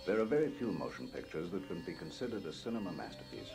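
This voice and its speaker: A man's voice: deep voice, sing-song, fast, creaky. A man reading a scripted movie advertisement as a dramatic film trailer announcer. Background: loud music.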